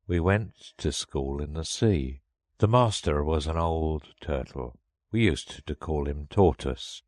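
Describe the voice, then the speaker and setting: Man voicing a deep, hollow, mournful Mock Turtle. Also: animated, darkish tone, dry recording, studio quality, full character voice. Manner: slow, mournful, sighing. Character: melancholy, sighing old Mock Turtle, easily offended. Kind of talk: storybook character reading.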